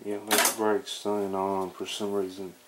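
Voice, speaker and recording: Male hobbyist, unscripted and halting, very unhurried pace, thinking aloud over clear background noise on a camera mic. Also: deep voice, completely flat delivery. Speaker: hobbyist demonstrating a reel-to-reel tape recorder. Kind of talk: casual off-the-cuff video narration. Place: small cluttered room at home, indoors. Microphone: camera microphone near the equipment. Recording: noisy camera audio with clicks.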